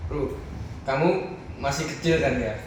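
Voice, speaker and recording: A man's voice: medium pitch, a little slow. A teenage boy hosting a school podcast, asking questions in a chatty, teasing way. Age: teens. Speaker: student podcast host. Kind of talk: casual interview hosting. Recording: echoey room, distant mic, noisy.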